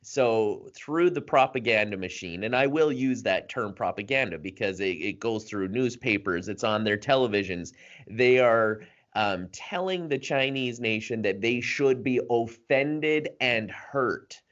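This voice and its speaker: A man's voice: medium pitch, lively intonation, unhurried, fairly bright tone. A man co-hosting a podcast, explaining a news story in a casual chat with frequent ums and uhs. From Canada. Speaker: podcast co-host. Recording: dull lo-fi podcast audio.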